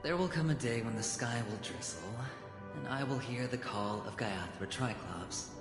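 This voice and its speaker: An actor playing a suave, weary gambler in a game, speaking wistfully and deliberately with dramatic pauses. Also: male voice, mid-range voice, expressive, close to an everyday voice. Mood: gentle, wistful. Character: suave, weary male gambler brooding on fate. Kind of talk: scripted game cutscene acting. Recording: echoey hall reverb with background music.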